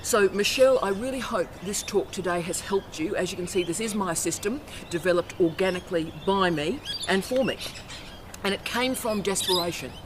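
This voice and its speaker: A woman's voice: mid-range voice, expressive. Forthright woman talking outdoors. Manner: emphatic, forthright, brisk. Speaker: university academic. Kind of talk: instructional vlog talk. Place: outdoors on a university campus by the ocean. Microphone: self-filmed camera outdoors. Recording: outdoor noise with birds and traffic.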